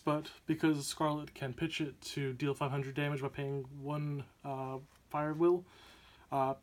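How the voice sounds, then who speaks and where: A young man hosting a card game channel, slightly hoarse from a sore throat, talking casually and upbeat with lots of fillers. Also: a little high. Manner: chatty, upbeat, many "uh" fillers. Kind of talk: casual deck profile walkthrough.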